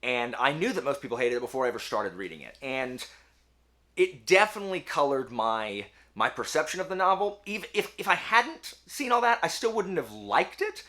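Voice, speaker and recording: Guy doing a casual book video, super animated up and down, bright emphatic voice, pokes fun at himself. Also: mid-range voice, normal speed. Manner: animated, self-deprecating, emphatic. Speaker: book review channel host. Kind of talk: casual talk to camera, answering book tag questions.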